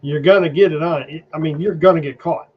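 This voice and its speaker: A man's voice: a little high, fairly even intonation, a little fast. A man hosting a podcast, chatting casually in an opinionated, gloomily musing way. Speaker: podcast host. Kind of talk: casual chat. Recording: dull audio with faint noise.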